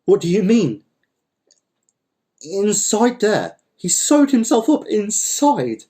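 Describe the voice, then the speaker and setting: A man's voice: medium pitch, lively intonation, normal speed, warm tone, voice pushed up, lightly acted. A man voicing a panicky, blustering landowner in a dramatic story, exasperated and repeating his commands. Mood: stunned, frantic.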